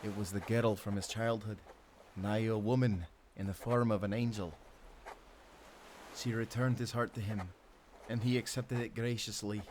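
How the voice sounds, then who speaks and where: A man's voice: medium pitch, darkish tone, a little hiss or hum, close to an everyday voice, poor audio. A man playing an affectionate Scottish sailor husband, telling stories in a tender, playful and intimate way. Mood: tender narration. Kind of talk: intimate roleplay storytelling.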